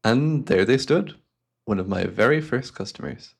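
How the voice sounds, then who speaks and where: A man's voice: fairly low pitch, animated, creaky, slightly rough, full character voice. A man playing a courteous, devious hypnotist who speaks in a formal, soothing, theatrical way, as in an ASMR roleplay. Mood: nostalgic, savoring. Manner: suave, formal, unhurried, theatrical. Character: devious, courteous male hypnotist guiding a client.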